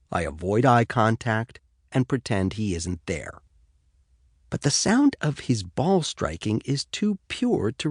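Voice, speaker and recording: A man's voice: lowish voice, no echo. An American man reading first-person fiction as an audiobook narrator, in a studio. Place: dry studio.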